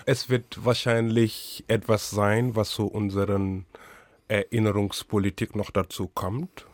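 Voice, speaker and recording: A man's voice: on the low side, no echo. A man from Senegal, answering as a guest in a radio interview, thoughtful and searching for words. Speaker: guest, literary scholar and curator.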